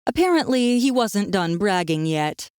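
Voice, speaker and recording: A woman's voice: mid-range voice, very animated, measured pace, background noise. A woman reading scripted narration of a fantasy story as an audiobook narrator.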